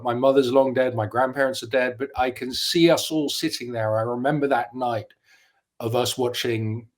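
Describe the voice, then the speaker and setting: A man in his fifties who grew up in the Netherlands, talking as a journalist guest in an interview. He speaks in an articulate, opinionated way and turns reflective as he goes. His voice sits at an ordinary middle pitch. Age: 50s. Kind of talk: conversational interview.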